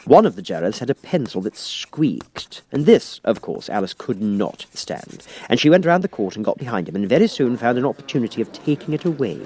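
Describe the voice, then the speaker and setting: A man narrates a children's storybook expressively. His voice sits in a medium register but rises and falls dramatically, giving the reading a very animated shape. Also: mid-range voice, fairly quick, hardly any pauses, husky. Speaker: audiobook reader.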